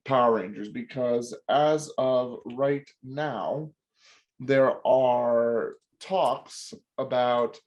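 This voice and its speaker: A man's voice: medium pitch, rough recording. Young man explaining things, hedging. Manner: explanatory, hedging, lots of fillers. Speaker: podcast co-host. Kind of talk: casual chat.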